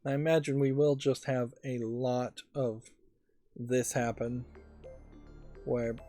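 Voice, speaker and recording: A man's voice: mid-range voice, flat delivery, slow pace, warm tone. A man giving casual, musing game commentary as a let's play host, often hesitant and trailing off. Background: game music.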